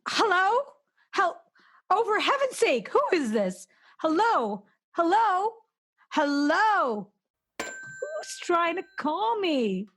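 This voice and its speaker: A high-voiced, highly strung invalid wife in a noir radio drama acted by a woman. She is imperious and self-pitying, scolding whoever is on the line as her nerves fray. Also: huge pitch swings, takes it slowly, pauses often, fairly bright tone, exaggerated voice. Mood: impatient, alarmed. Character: nervous, demanding bedridden invalid wife, imperious and frantic. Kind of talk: noir radio play acting.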